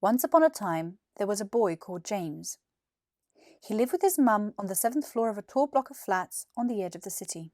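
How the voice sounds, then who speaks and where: British female storyteller, twenties, scripted children's narration, lively intonation, dry sound. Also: mid-range voice, brisk, no room sound. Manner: clearly articulated, animated. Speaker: actor reading a children's story. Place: small furnished room indoors. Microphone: lapel mic.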